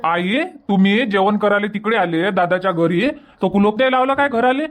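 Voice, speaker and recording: Cartoon village husband, male performer, exasperated and insistent, pitched well up, Varhadi Marathi accent. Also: moderate pace, lightly acted. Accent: Varhadi Marathi from Vidarbha. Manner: insistent, repetitive, reproachful. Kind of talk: cartoon comedy dubbing.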